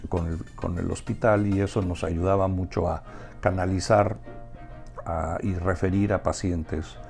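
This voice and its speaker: A man with a Mexican accent answering in a conversational interview, haltingly repeating words as he searches for his phrasing. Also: deep voice, fairly even intonation, creaky, darkish tone, faint music behind. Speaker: interview guest, restaurateur and community organization member.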